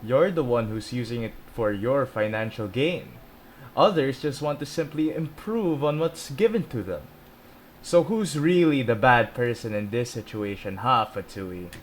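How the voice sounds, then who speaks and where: A man's voice: medium pitch, animated, a little slow, a little hiss or hum. A man streaming a video game, casually commentating and talking back to the characters in a teasing way. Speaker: gaming streamer.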